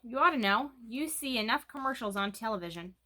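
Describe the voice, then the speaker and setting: A woman's voice: on the high side, animated, a little fast, bright, forceful tone, a little hiss or hum. A woman voicing a teasing, absent-minded but reassuring storybook father in a matter-of-fact way.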